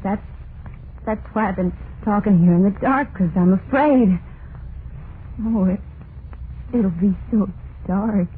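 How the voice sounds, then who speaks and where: Actress as unhinged frightened wife, low-pitched, halting overwrought delivery, old radio horror drama, noisy vintage recording. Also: female voice, narrow, tinny audio, lightly acted. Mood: fearful despair. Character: terrified, unhinged wife confessing guilt and dread.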